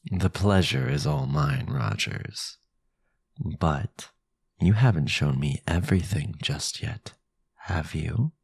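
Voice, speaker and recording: A man playing a powerful, sinister vampire lord in an ASMR roleplay, possessive, silky and condescending, with a low, gravelly, breathy voice. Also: no room sound, clean studio sound, heavily acted. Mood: commanding. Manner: slow, menacing, silky, condescending. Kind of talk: ASMR boyfriend roleplay acting.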